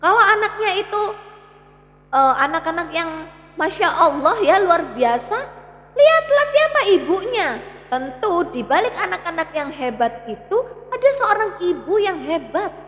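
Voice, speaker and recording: High, animated East Javanese Indonesian woman teacher. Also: a little slow. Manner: didactic, emphatic, addressing listeners directly with rhetorical questions. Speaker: Islamic parenting speaker. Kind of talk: religious parenting talk to parents. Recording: echoey hall, slightly muffled sound.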